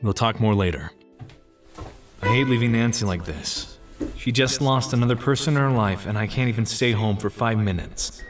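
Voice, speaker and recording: A man's voice: fairly low pitch, moderate pace, far from the mic, soft background music, natural voice. A man playing a dogged, hard-boiled police inspector and protective uncle in an audio crime drama, with a gritty, terse delivery. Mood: concerned, firm. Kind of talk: audio crime drama acting with noir first-person narration.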